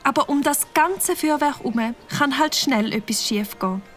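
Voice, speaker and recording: A woman voicing a caring, motherly fox lady in a cartoon, speaking gently. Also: high-pitched, normal speed, faint music behind. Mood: calm.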